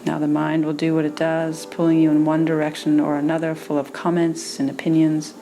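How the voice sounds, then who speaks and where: A woman meditation teacher leading a guided meditation in a soothing manner, with gentle pauses between phrases. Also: low voice, completely flat delivery.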